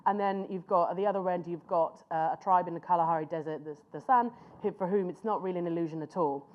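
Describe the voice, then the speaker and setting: A woman presents a master class to a live room, speaking conversationally and sometimes stopping to hunt for an English word. Her voice sits slightly low for a woman. The recording is muffled and lo-fi. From Finland. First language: Finnish. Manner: conversational, pauses to search for words. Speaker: conference speaker.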